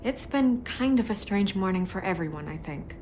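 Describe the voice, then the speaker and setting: A woman's voice: mid-range voice, animated, normal speed, a little mellow, close to an everyday voice. Polite, composed woman actress over noisy ambience. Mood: gentle, tactful. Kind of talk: video game voice acting.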